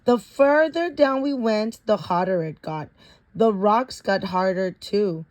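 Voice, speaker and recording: A woman's voice: mid-range voice, slow, a little mellow. A woman reading a children's science storybook aloud, stopping now and then to repeat or correct words. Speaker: reader of a children's picture book.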